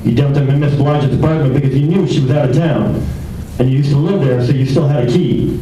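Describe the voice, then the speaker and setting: A man playing a flirtatious, wisecracking private detective in a radio play, with a teasing, unhurried manner. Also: medium pitch, steady tone, warm tone, slight background noise, close to an everyday voice. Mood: dry, accusing. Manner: teasing, unhurried, breaks into crooning. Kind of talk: old-time radio detective drama acting. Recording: echoey distant room, music behind.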